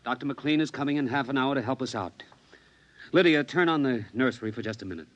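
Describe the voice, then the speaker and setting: A man's voice: medium pitch, quick pace, fairly bright tone, lo-fi sound, close to an everyday voice. An actor playing a stern, exasperated middle-aged father in a 1950s radio drama. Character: stern, exasperated father, growing uneasy and frantic.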